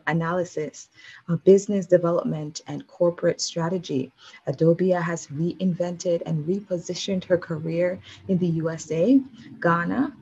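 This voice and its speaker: Slightly husky woman hosting an interview. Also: on the low side, a little mellow, muffled recording. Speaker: interview show host. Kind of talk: scripted guest introduction, then interview.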